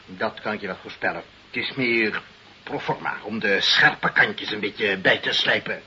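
An actor playing a blustering, self-important director in a radio play, speaking pompously and haltingly and repeating himself. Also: male voice, fairly low pitch, bright, forceful tone, slightly rough, heavily acted. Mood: stern, pompous. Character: blustering, self-important male director seeking face-saving deals. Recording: muffled old radio recording, light noise.

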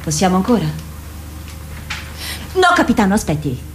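A woman's voice: medium pitch, very animated, normal speed, a little hiss or hum, lightly acted. Woman as a swooping, scornful diplomat. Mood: evasive, urgent. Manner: theatrical, dismissive, insistent. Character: scornful, anxious female diplomat, evasive and persuasive. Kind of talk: radio drama acting.

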